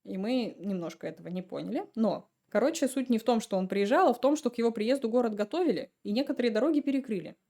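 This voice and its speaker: A woman guest on a podcast, telling stories in a relaxed, chatty way and laughing often. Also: fairly high pitch, fast talker, hardly any pauses. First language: Russian. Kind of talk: casual chat.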